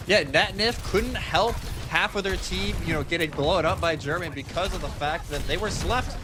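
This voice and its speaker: A man's voice: sits high, normal speed, background noise. A man giving live analytical commentary on an esports match.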